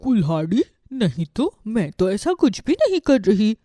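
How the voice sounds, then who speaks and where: A woman's voice: medium pitch, sing-song, a little slow, mellow, no room sound, clean studio sound. A woman voicing a devoted, chatty animal mother in a children's cartoon, earnest as she explains. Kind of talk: Hindi children's cartoon dialogue.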